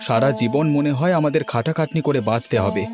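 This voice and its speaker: A world-weary village farmer in a cartoon, played by a man. He grumbles resentfully at a quick pace in a lower voice, with faint music behind him. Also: lightly acted. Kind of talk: cartoon voice acting.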